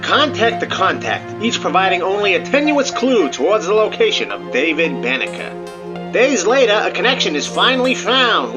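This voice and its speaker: A man playing a theatrical narrator of a space adventure, reading scripted lines in a dramatic, grandiose way. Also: sits high. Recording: loud music behind.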